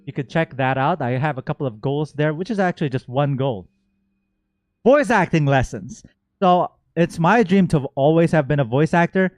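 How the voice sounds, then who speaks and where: A man hosting a game stream, chatting casually and playfully, given to excited exclamations and rambling asides. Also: fairly high pitch, normal speed, dry recording. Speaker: streamer and host. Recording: music playing behind the voice. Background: game music.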